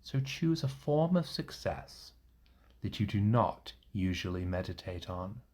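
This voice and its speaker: A man leading a guided meditation in a gentle, reassuring manner, leaving long pauses between short phrases. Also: lowish voice, animated. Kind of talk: guided meditation, soothing instruction.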